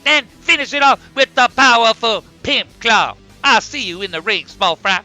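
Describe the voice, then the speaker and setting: A man acts out a brash, cocky grizzly bear pimp from a cartoon wrestling show. The voice sits high and is very bright and forceful, full of swaggering taunts. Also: animated, normal speed, faint music behind, heavily acted. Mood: cocky taunting. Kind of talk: cartoon wrestling trash talk.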